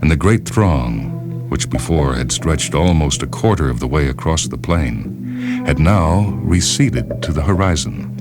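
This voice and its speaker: A man with a strikingly deep, bass voice narrates a short story. His delivery is grave, measured and dramatic. Orchestral music plays clearly behind him. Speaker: narrator and reader.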